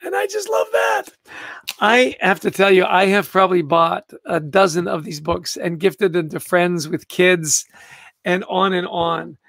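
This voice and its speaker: Older man, gravelly, hosting a book chat. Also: sits high, measured pace, fairly bright tone, dry recording. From Canada. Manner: enthusiastic, greets viewers by name. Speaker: book club host. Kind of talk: casual livestream book chat.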